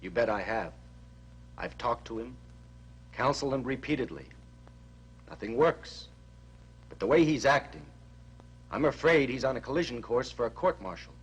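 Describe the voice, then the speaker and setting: A resigned army motor pool officer speaks in a firm way. His voice is husky and mid-pitched. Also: expressive, normal speed, long pauses, rough recording.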